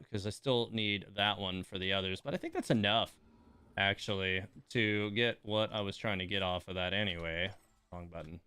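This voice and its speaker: A man's voice: fairly low pitch, creaky, a bit bright, clear, resonant tone. A man livestreaming a game, giving casual running commentary and grumbling with mild swearing.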